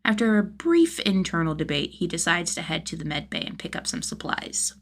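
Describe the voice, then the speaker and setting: A woman reading a story as narrator, in expressive scripted narration. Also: on the low side, a little fast. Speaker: podfic narrator.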